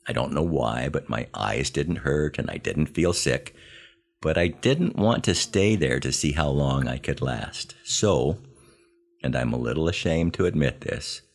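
An American man in his seventies narrating scripted first-person memoir stories in a wry, plain-spoken, measured way. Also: rumbling low, lively intonation, creaky. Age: 70s. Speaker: podcast host telling his army memories.

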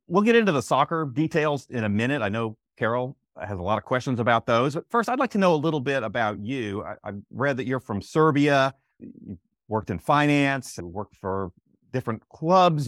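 A man's voice: medium pitch, no echo. Male podcast host, dry close sound. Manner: scripted, smooth intro delivery. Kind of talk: podcast hosting and interviewing.

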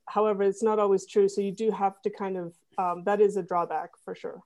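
A woman's voice: medium pitch, flat delivery, a little fast, warm tone. A woman guest on a podcast, talking casually with lots of fillers and restarts. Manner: rambling, many fillers and restarts. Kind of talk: casual chat.

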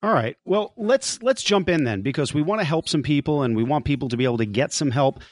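A man's voice: fairly high pitch, animated, fast. A man co-hosting a radio show in a casual, conversational interview style. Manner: joking, sarcastic. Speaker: podcast and radio co-host.

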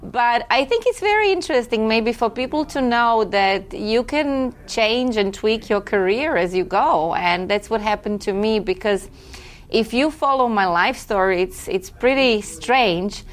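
A woman's voice: fairly high pitch, a little slow. Woman, reflective and chatty. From Croatia. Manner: reflective and chatty, with occasional laughs and self-corrections. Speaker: guest, film festival director. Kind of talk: relaxed conversational interview.

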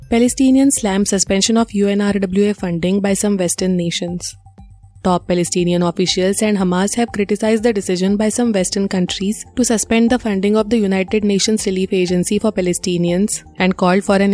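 A woman's voice: mid-range voice, a little mellow. A woman with an Indian accent presenting a scripted news bulletin.

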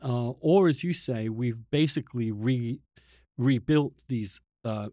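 A man's voice: medium pitch, unhurried, dark tone, dry recording, studio quality. A man hosting a reflective conversational interview, searching for words and often stammering over the starts of them. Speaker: podcast host and interviewer.

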